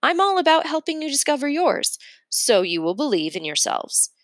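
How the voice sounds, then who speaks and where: A kind, quirky schoolteacher from a children's storybook, put on by a woman narrator. She talks in a raised, animated, gushing voice that encourages and reassures. The recording is very dry. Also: sits high, normal speed, no room sound, pitched above the performer's own voice. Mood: encouraging, bright.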